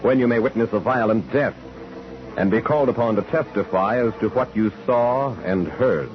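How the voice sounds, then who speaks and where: A man's voice: medium pitch, normal speed. A man announcing a 1940s radio show in a solemn, portentous, dramatic style. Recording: dull old radio recording under music.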